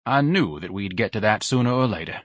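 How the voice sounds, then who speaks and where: A man's voice: lowish voice, expressive, a little fast, dry recording, clean studio sound. A man voicing a pompous, self-pitying, overeducated fat man who speaks in grand, ornate phrases and is easily outraged, in an audiobook. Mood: weary dread.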